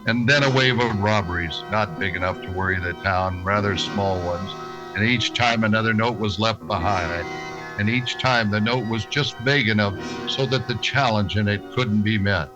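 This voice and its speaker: A man as a deep-voiced, terse Western marshal. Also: moderate pace, highs cut off, music in the background, close to an everyday voice. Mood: grim narration. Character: steady, dry-humored adult male Old West marshal. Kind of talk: old-time radio western acting, with first-person narration.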